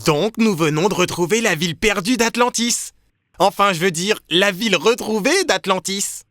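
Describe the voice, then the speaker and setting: A woman's voice: medium pitch, normal speed, fairly bright tone, dry recording, studio quality, lightly acted. A woman voicing a playful, joking young boy adventurer in a children's cartoon, eager in manner.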